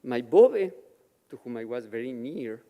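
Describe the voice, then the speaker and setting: A man from Mexico whose first language is Yiddish, telling his personal story slowly and with feeling as a guest speaker at a Shabbat service in a synagogue chapel. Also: mid-range voice, big pitch swings, warm tone, long reverb tail. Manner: slow, measured, emotional storytelling. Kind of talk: personal testimony speech.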